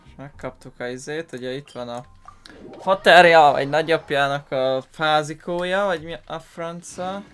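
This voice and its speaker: A woman's voice: low voice, moderate pace. A Hungarian woman giving casual, offhand and crude let's play commentary over a video game. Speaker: gaming YouTuber. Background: game music.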